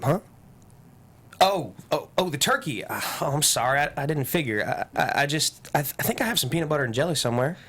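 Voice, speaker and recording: A man's voice: a little high, animated, brisk, fairly bright tone, breathy edge, heavily acted. Man playing a bashful, stammering small-town teenage boy. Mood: nervous, eager. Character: shy, polite eighteen-year-old small-town boy. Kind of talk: table read of a screenplay.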